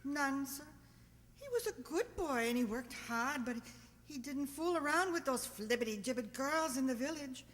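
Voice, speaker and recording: A woman's voice: sits high, lively intonation, moderate pace, fairly bright tone. A woman playing an anxious, pleading older working-class village mother, earnest and rustic, in a radio drama. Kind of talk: amateur radio drama acting. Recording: long hall-like reverb.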